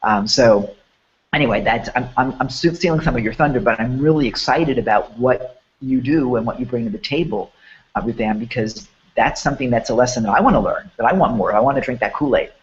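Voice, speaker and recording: A man hosting a promotional online chat who sounds hoarse and sniffly. He talks in an enthusiastic, rambling way with many restarts. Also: medium pitch, fairly quick, highs cut off. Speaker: host.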